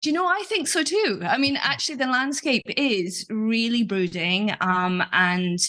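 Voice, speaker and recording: A woman from Scotland with a Scottish accent, a novelist chatting warmly as a guest on an interview podcast. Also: on the high side, a little slow. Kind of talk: casual interview conversation.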